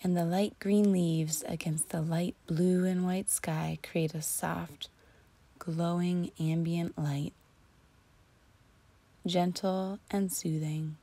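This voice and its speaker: A woman narrating a guided imagery relaxation in a soothing, deliberate, breathy voice that often sinks close to a whisper. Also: fairly low pitch, steady tone, dark tone. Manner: soothing, slow and deliberate with long pauses. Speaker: guided meditation host.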